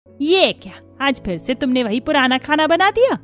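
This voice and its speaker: A demanding older mother-in-law in a cartoon family tale, voiced by a woman, bossy and full of complaint. The voice sits on the high side, with music playing behind it. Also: big pitch swings, normal speed, a bit bright. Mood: complaining. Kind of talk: Hindi cartoon story dialogue.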